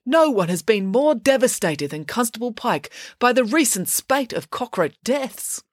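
Fussy, earnest man calling a race, acted by a woman; lively pitch swings, storybook style. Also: unhurried, no room sound, clean studio sound. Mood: concerned, puzzled. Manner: animated, exclamatory commentary.

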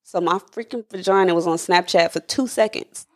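A woman's voice: fairly low pitch, normal speed. A woman of thirty hosting a podcast, asking questions and telling frank stories with frequent giggles. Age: 30s. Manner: frank, giggly, quizzes her guest. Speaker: podcast host. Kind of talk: casual chat.

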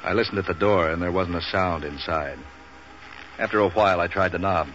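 An American actor playing a street-wise, wisecracking waterfront man for hire in 1940s radio noir, with a clipped, sardonic, deadpan delivery. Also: sits low, a little fast, soft background music. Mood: tense, deadpan. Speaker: lead character and narrator. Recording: dull, hissy 1940s radio recording.

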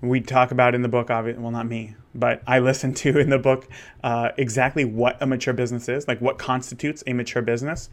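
A man's voice: medium pitch, level tone, brisk. A man of thirty, a wedding photographer, talking candidly in a rambling solo monologue with many restarts. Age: 30s. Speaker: wedding photographer and podcast host.

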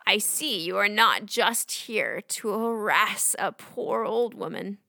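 An actress playing a gruff, folksy old woman hermit in a children's fantasy audio drama. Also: medium pitch, animated, slow, sharp tone, dry recording, clean studio sound, faint music behind, full character voice. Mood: welcoming, curious. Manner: crotchety, folksy, theatrical. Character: short, gruff but twinkly old woman hermit Maggie.

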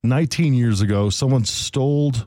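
A man's medium-pitched voice, recorded close and dry. He hosts a radio interview, talking informally and with plenty of slang. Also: moderate pace, mellow, no room sound. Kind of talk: casual radio interview chat.

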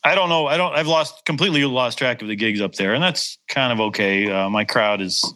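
A man's voice: medium pitch, fairly quick, pushed and bright. A middle-aged man from Wisconsin, a guitarist with a slight Upper Midwest accent, chatting casually in a rambling, self-deprecating way with many restarts. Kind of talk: casual chat.

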